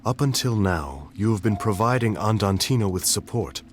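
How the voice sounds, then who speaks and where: A man's voice: fairly low pitch, lively intonation, moderate pace, natural voice. An actor playing a cool, probing young nobleman in a game cutscene, speaking in a formal, precise, questioning way. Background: game soundtrack music.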